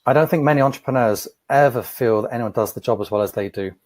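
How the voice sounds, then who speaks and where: A man answering interview questions as an entrepreneur, thoughtful and measured, often repeating a word as he starts. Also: mid-range voice, darkish tone, no echo. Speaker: podcast guest, entrepreneur.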